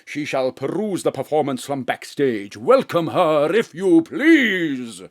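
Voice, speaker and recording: An actor playing a pompous, self-important stage actor who slips into theatrical mock-Shakespearean ranting. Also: male voice, fairly high pitch, big pitch swings, slow, no room sound, clean studio sound. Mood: declaiming. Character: pompous hammy old male stage actor. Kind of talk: audio drama acting. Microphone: home studio microphone.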